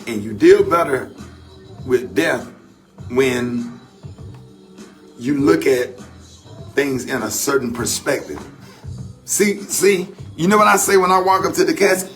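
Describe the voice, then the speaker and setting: A man's voice: medium pitch, lively intonation, a bit bright, soft background music. An older man with a Southern American accent hosting a radio morning show, talking casually and loosely about faith and grief. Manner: loose, laughing, drawn-out Southern phrasing. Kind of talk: casual radio banter and reflective talk.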